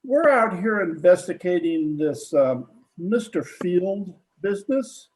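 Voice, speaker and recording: A man's voice: high-pitched, expressive, takes it slowly, low-quality recording. A man playing a plain-spoken police detective sergeant in an old-time radio drama, with a hesitant, folksy, polite manner. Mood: polite, probing.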